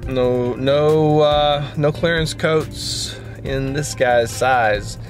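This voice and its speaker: A man's voice: medium pitch, extremely slow, soft background music. A man in his fifties chatting casually to a vlog in a rambling, wry, self-deprecating way, recorded in a car. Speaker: vlogger. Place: inside a parked or moving car.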